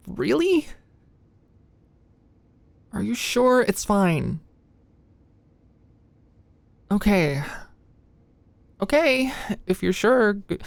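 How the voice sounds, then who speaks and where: A woman playing an anxious, sweet and awkward young female medical student in a soft, intimate ASMR roleplay, with a hesitant manner. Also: a little high, vocal fry, dry recording, full character voice. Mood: flustered, nervous. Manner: nervous, hesitant, stammering.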